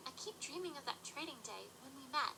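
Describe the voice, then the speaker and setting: A woman's voice: high-pitched, animated, fast, gravelly, noisy background. A woman voicing a young female unicorn student who is studious, practical and precise, in a fan audio drama. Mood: earnest. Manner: earnest, precise, slightly prim.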